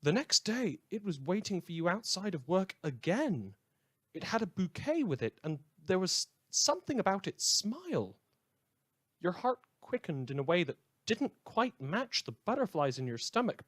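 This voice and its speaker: Man playing a theatrical, swooping, high-pitched radio host. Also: a bit bright, no room sound, studio quality, exaggerated voice. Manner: theatrical, dryly ironic announcer delivery. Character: wry, opinionated male host of post-apocalyptic community radio. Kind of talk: scripted radio news narration, audio drama.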